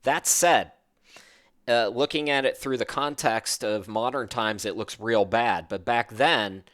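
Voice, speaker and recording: A man's voice: medium pitch, a little slow, a bit bright. A man hosting a podcast, chatting casually and wandering from one thought to the next. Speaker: podcast host.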